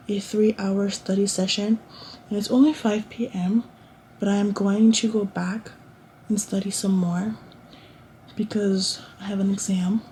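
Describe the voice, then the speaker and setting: A woman in her late teens talks to camera from her college dorm room, chatty and rambling, often restarting her thoughts. Her voice is soft and mellow, with a faint hiss underneath. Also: medium pitch, low-quality recording. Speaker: vlogger. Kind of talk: casual chat to camera.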